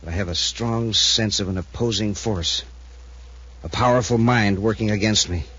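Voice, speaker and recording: An actor playing a coldly arrogant, super-intelligent villain in a 1950s radio adventure, measured and commanding. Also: male voice, on the low side, fairly bright tone, breathy edge. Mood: smug, menacing. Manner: measured, commanding, self-assured. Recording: muffled 1950s radio recording.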